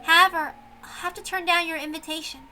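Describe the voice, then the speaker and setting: A woman voicing a polite young servant girl, humble but resolute, with soft, courteous, earnest speech. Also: very high-pitched, level tone, quick pace, soft background music, pitched above the performer's own voice, full character voice, poor audio.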